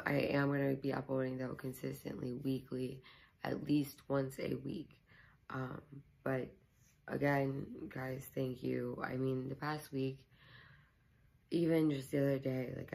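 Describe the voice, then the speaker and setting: A young woman, hoarse, chatting casually to her camera at home and rambling with many ums and likes. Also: a bass voice, flat delivery, a little slow, a little mellow, low-quality recording. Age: young adult. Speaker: YouTuber. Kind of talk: casual vlog chat to camera. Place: small living room at home, indoors.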